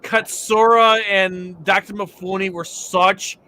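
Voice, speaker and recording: Bright excited male podcast host. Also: sits high, takes it slowly. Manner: excited fan, rambling with restarts. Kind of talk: casual podcast chat.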